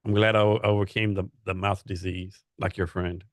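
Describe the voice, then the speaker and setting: A man's voice: low voice, level tone, moderate pace, no echo, clean studio sound. A man in a casual chat, joking in a loose, teasing, slangy way.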